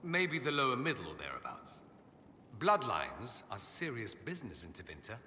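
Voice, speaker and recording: An actor voicing a witty, urbane aristocratic mage in a video game, with a flamboyant, playful, arch delivery. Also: male voice, medium pitch, huge pitch swings, moderate pace, pushed and bright, big-room sound, slight background noise, heavily acted. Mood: playful, wry.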